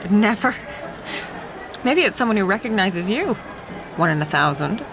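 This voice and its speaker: Teasing, animated woman. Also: medium pitch, moderate pace, crowd noise, background noise. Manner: dry, teasing. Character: teasing, brisk, sceptical female companion. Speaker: actor in a radio drama. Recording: music behind the voice.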